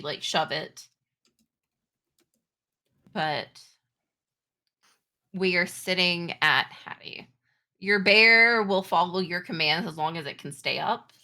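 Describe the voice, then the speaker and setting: Female game master, informal storyteller and referee, slightly low pitch, crisp bright edge. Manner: informal phrasing full of "like". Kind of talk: narrating and refereeing a tabletop game.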